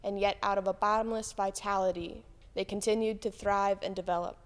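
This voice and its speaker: A woman reading quotations aloud in a solemn, measured, declarative way. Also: mid-range voice, level tone. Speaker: church member reading aloud. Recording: long echoing reverb.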